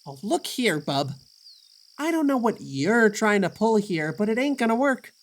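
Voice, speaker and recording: A woman playing a grumpy, guarded, sarcastic young traveler in an audio drama, deadpan and curt. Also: fairly high pitch, moderate pace.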